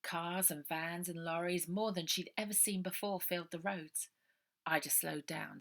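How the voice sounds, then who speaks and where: A woman author reading her own children's adventure novel aloud, lively, dropping to a whisper for suspense. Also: on the low side, vocal fry. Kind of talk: storybook narration for children.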